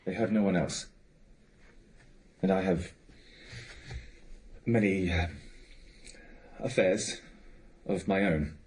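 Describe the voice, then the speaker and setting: Evasive bachelor gentleman: deep register, stammering and apologetic, period radio play, some noise behind. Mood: persuasive, uneasy. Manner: halting, stammering, apologetic, self-absorbed. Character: evasive, well-to-do bachelor gentleman, uncle of orphans. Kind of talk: period audio drama acting.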